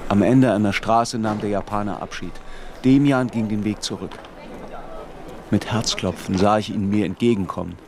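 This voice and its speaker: A contemplative man looking back on his student youth , played by an actor narrates a radio play. His voice is fairly low and measured, with a literary turn. There is clear ambient noise behind it. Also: steady tone, natural voice. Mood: reflective.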